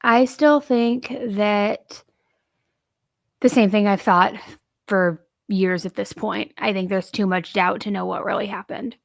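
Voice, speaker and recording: A hoarse, under-the-weather woman with vocal fry, hosting a casual, chatty livestream commentary. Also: medium pitch, steady tone, takes it slowly, dry recording, clean studio sound. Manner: chatty, informal. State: hoarse, feeling sick. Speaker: true crime livestream host.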